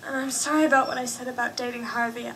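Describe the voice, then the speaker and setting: An actress playing an anxious, remorseful teenage daughter in a radio drama, pleading and hesitant. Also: female voice, fairly high pitch, flat delivery, fast, recorded from a distance, noisy background, close to an everyday voice.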